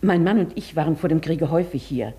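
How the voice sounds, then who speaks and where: A woman's voice: sits low, fast, mellow. An actress playing a polite, evasive, formerly wealthy lady traveller in a German radio play. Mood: polite, reserved.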